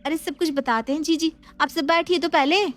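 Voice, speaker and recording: A woman's voice: sits high, steady tone, a little fast, a bit bright, soft background music. A young woman playing a patient, modern-minded new daughter-in-law who explains things earnestly and persuasively. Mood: explaining. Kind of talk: Hindi family drama acting.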